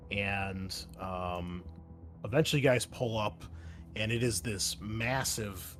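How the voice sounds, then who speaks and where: A man narrating as game master of a tabletop role-playing game, rambling a bit with fillers as he describes the scene. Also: on the low side. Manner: loose, rambling with many fillers.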